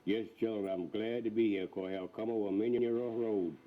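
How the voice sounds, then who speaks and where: A man's voice: fairly low pitch, fairly even intonation, a little fast. A man in his eighties with a Southern US accent, reminiscing in a folksy, plain-spoken rural dialect. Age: 80s. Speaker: elderly farmer, subject of a news feature. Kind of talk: unscripted reminiscing. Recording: thin phone-like sound, faint noise.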